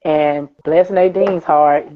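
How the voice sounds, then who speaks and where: Lower-voiced woman, tinny line. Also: steady tone, takes it slowly. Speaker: guest, playwright. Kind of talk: conversational explanation of own work. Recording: tinny phone-like call audio.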